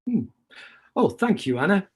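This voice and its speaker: An actor playing a genial, courteous middle-aged uncle in a radio play reading. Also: male voice, sits high, very animated, measured pace, husky, exaggerated voice.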